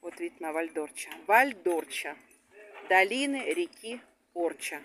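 A woman's voice: fairly low pitch, expressive, unhurried, fairly bright tone. A woman in her forties, a tour guide vlogging casually and bluntly to her viewers from a phone camera, in a cathedral, outdoors and on a train. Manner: chatty, blunt, addresses viewers as friends. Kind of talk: casual vlog narration. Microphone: handheld phone camera. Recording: noisy handheld phone audio.

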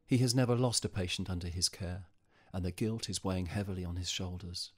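Grave horror-drama narrator, played by a man, low-pitched. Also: a little fast, breathy edge. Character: grave male narrator of a bleak horror tale. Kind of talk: scripted audio drama narration.

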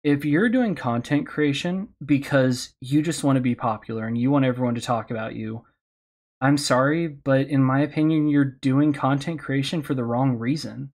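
Earnest, slightly hoarse man. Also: mid-range voice, moderate pace, a little mellow. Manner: earnest, candid, encouraging. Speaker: podcast host speaking on a panel. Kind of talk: advice talk at an online convention panel.

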